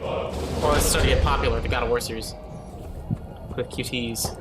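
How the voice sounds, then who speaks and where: A sick, slightly hoarse man rambling through casual commentary on a gaming livestream, full of fillers and sudden outbursts. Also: medium pitch, fairly even intonation, moderate pace, over loud music.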